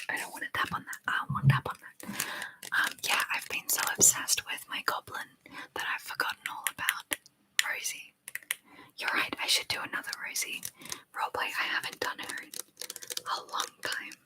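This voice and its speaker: A woman hosting a whispered ASMR live chat, reading viewer comments aloud and softly repeating phrases over and over. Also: low-quality recording. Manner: reads viewer comments aloud and answers them. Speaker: ASMR livestream host.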